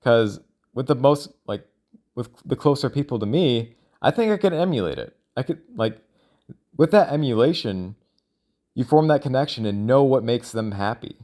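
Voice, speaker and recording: A man speaking in a casual, rambling monologue, thinking out loud in a halting, self-questioning way. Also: mid-range voice, lively intonation. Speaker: solo audio log creator.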